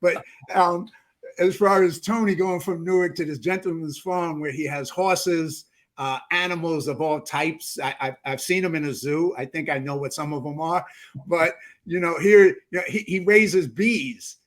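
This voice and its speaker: Playful higher-voiced New man host. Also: moderate pace. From New York. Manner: playful, teasing, many restarts. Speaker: podcast host. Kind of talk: casual interview chat.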